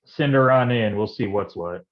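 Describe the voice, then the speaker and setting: A world-weary private eye played by a man, talking in a very low register. He drawls hard-drinking film noir slang, part voiceover and part dialogue. The sound is dull, like a livestream. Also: normal speed, close to an everyday voice. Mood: gruff, fond. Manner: slangy hardboiled delivery.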